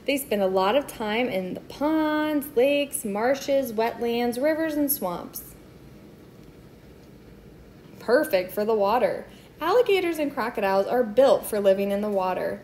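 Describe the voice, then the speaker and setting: Higher-pitched woman reading aloud to children. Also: expressive, measured pace, darkish tone, a little hiss or hum. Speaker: children's book reader. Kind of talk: read-aloud of a children's nonfiction book.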